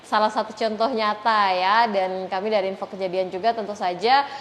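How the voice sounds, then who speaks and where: A woman's voice: mid-range voice, fairly even intonation, brisk, slight background noise. A woman hosting a podcast interview in a conversational style, phrasing questions hesitantly and often seeking agreement. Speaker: podcast host interviewing a guest.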